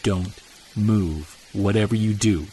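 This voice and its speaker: A man acting as a commanding wilderness monster hunter in an audio drama. His voice sits fairly low, terse and urgent, giving orders with tense authority. Clear outdoor ambience runs behind him. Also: slow, dark tone, a little hiss or hum. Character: tense, commanding male Bigfoot hunter in the woods.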